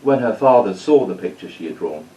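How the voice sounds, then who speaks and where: A man's voice: lowish voice, animated, fairly quick, dark tone, distant mic, low-quality recording. A man reading a children's story aloud as storyteller. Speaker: storyteller, school staff reader.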